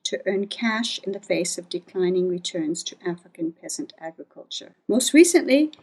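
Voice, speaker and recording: A woman with a mellow, softly toned voice reads a prepared first-person narration. She sounds like an academic presenting research in a measured, scripted way. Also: mid-range voice, low-quality recording. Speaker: academic researcher, economic geographer.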